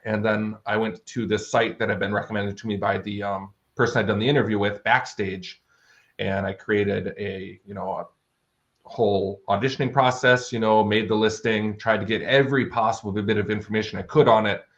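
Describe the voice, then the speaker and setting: A man's voice: on the low side, steady tone, normal speed. A man chatting casually as an interview guest, telling anecdotes in a conversational way. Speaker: guest author and audio drama producer. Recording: dull, lo-fi audio.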